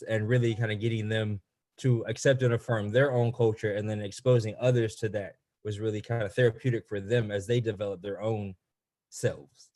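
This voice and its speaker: A man's voice: lowish voice, darkish tone. A man who teaches special education presents on a video webinar, talking loosely with frequent "um"s and "you know"s.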